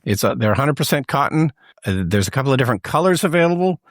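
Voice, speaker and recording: A man co-hosting a podcast over a video call, speaking in a measured way with short agreeing replies. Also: medium pitch, very animated, no room sound, clean studio sound. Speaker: podcast co-host. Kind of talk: casual podcast chat.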